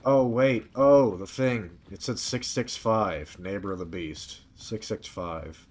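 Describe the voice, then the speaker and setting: A man's voice: on the low side, slow pace. A man streaming a game with casual commentary, muttering to himself in short fragments. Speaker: gaming streamer. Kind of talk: casual let's-play commentary. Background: faint game music.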